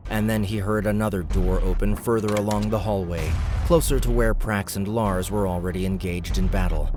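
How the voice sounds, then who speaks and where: A man's voice: on the low side, fairly even intonation, normal speed, over music. A man narrating scripted fantasy fiction as an audiobook reader.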